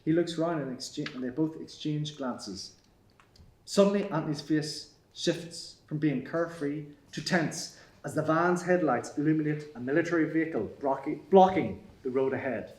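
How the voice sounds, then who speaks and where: A man's voice: on the high side, moderate pace, a little mellow. A man reading stage directions as scripted narration at a screenplay table read. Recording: echoey room.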